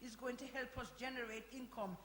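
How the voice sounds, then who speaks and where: Lady playing older no-nonsense committee woman in a radio play, sharp bright voice, roomy echoey hall. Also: fairly high pitch, fairly quick, natural voice. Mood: firm. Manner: firm, defensive, matter-of-fact. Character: Dorothy, firm, pragmatic, defensive older community organiser. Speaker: actor in a live radio play. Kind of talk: radio drama acting before an audience. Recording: noisy, echoey reverberant hall.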